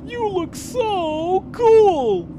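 A woman voicing a bubbly, teasing younger skeleton brother, playful and excitable, for a comic dub. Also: very high voice, extremely slow, full character voice. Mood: excited, friendly. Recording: faint background noise.

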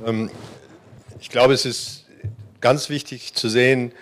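A man's voice: medium pitch, fairly even intonation, slow. A man speaking as a panelist at a conference, earnest, with many hesitation fillers, on a handheld mic in a hall. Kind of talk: panel discussion.

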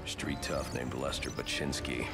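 A man playing a grim, brooding masked vigilante in a video game, speaking tersely and sternly with a gravelly voice. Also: a bass voice, level tone, measured pace. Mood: grim, clinical. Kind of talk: video game cutscene acting. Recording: music behind the voice.

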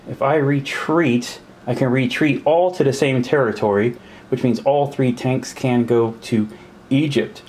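A man's voice: mid-range voice, a little mellow, slight background noise. Man thinking aloud over a board game. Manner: counting pieces aloud, pausing to puzzle over rules. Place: indoors at a table.

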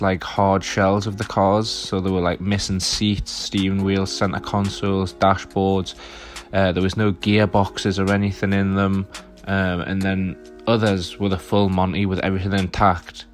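Low, flat-voiced young man. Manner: rambling, chatty, lots of fillers. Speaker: car vlogger. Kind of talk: casual voice-over vlog.

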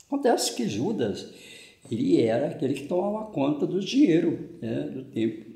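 An older Brazilian Portuguese-accented priest preaching a homily to a camera at medium distance in a small room at home. His voice is gravelly and mellow, and its pitch moves up and down a lot. The room gives it a noticeable echo. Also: sing-song, moderate pace. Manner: conversational, frequent "né" tag questions, gestures with hands. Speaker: parish priest. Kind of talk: homily on the gospel. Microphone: fixed camera at medium distance. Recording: echoey room.

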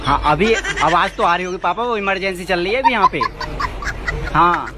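A high-voiced young man plays out a comic phone call, insistent and exasperated. He repeats himself as he goes. The recording is very noisy, made inside a train with rumble and clicks. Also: animated, moderate pace. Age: young adult. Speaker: prankster faking phone calls. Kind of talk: comic staged phone conversation. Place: inside a busy metro train.

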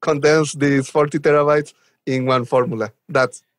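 A man's voice: on the high side, fairly even intonation, normal speed, dry recording, studio quality. A man from Chile with a Chilean Spanish accent, chatting casually and enthusiastically as a podcast guest. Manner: enthusiastic, chuckles while talking. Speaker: guest, software developer advocate. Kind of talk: casual podcast interview chat.

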